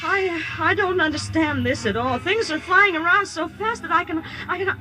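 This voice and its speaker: An earnest, trusting farm girl in a vintage radio play, her voice very high. She speaks in breathless, wide-eyed exclamations and hesitations, over prominent music in an old broadcast recording. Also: moderate pace, poor audio. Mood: frightened, excited. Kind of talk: 1950s radio drama acting.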